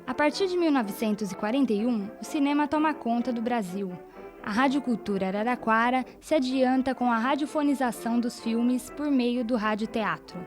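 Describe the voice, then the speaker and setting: Higher-pitched Brazilian woman narrating over music. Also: normal speed. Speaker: documentary narrator. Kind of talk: scripted narration.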